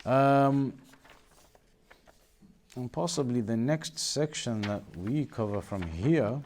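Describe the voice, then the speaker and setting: A man giving an Islamic studies lesson as a teacher, explaining in a measured way and rephrasing key points. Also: mid-range voice, a little mellow, a little hiss or hum. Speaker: religious teacher.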